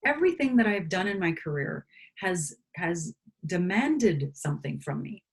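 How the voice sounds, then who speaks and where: Canadian-born singer lady talking about her life, husky and kinda low, really earnest. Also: very animated, normal speed. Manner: earnest, speaks with emphatic conviction. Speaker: guest, singer-songwriter. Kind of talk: reflective conversation.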